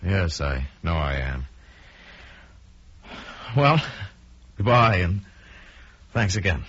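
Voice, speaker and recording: A man's voice: sits low, very animated, takes it slowly, a bit bright, breathy edge, full character voice. An actor playing an earnest, plain-spoken investor down on his luck in an old-time radio drama. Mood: resigned, polite. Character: earnest, trusting male investor, ruined and embittered. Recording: dull vintage radio recording with music.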